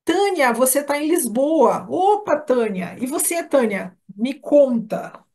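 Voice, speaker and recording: A woman with a Brazilian Portuguese accent and a slightly high-pitched voice. She speaks as a course instructor in an informal live webinar. Her way of talking is chatty and direct, addressed straight to the people attending. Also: sing-song, slow pace, warm tone. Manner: chatty, addresses listeners by name.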